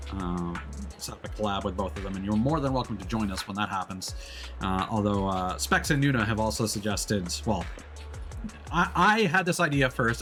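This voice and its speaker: A man with a fairly low voice chats casually as a live streamer. He is talkative but hesitant, letting phrases trail off into pauses. Music plays behind him. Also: fairly bright tone.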